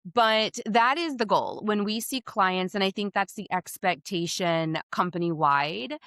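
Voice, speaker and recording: A woman's voice: medium pitch, a little slow, fairly bright tone, dry recording, studio quality. A woman with a slightly scratchy voice, a hormone health provider who explains things openly and with confidence in a podcast interview.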